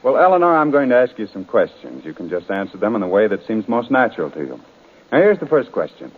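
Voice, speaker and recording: Animated 1930s radio announcer man. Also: on the low side, fast. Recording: narrow, muffled vintage 1930s radio broadcast.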